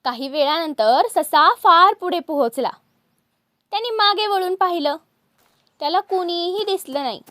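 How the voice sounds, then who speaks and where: A woman narrating a scripted fable for children, expressive and speaking directly to her young listeners. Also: very high voice, measured pace, no room sound. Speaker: children's storyteller.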